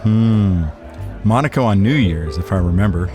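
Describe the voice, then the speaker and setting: An actor playing a cool, cocky, laconic hustler in a hardboiled period radio drama. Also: male voice, lowish voice, expressive, moderate pace, music in the background, close to an everyday voice. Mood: low-key, guarded. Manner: laconic, wisecracking. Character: wry, cool-headed Prohibition-era male hustler, cornered but cocky.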